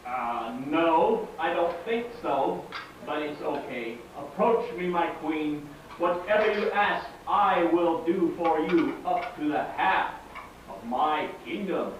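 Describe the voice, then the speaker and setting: A man's voice: on the high side, lively intonation, slow pace. A man playing a genial, indulgent king in a puppet show, easygoing and grandly courteous. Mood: gracious. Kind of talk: comic puppet show acting. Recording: echoey, distant, noisy live stage recording.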